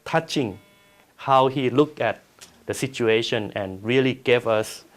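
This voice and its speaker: A man's voice: medium pitch, a little slow. A man recalling something in an interview, speaking hesitantly and repeating words. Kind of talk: halting spoken interview recollection.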